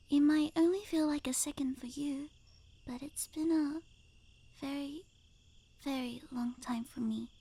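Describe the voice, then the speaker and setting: Woman playing a yandere necromancer girlfriend, high girlish voice, slow pace, sweetly unhinged, clingy, soft half-whispers, ASMR roleplay. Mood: tender.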